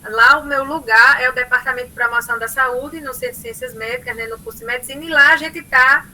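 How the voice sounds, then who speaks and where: Bright-voiced woman with a Paraíba accent. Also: sits high, quick pace, slight background noise, low-quality recording. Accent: Northeastern Brazilian, from Paraíba. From Paraíba, Northeast Brazil. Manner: animated storytelling, frequent "né?" tags. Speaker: university lecturer. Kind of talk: lively online class lecture.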